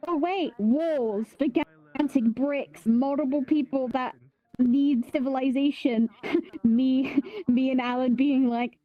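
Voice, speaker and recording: A woman's voice: high-pitched, slow. A woman rambling casually and chattily through explanations in a VR roleplay game's voice chat. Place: voice chat in an online virtual world. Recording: slightly dull lo-fi voice chat.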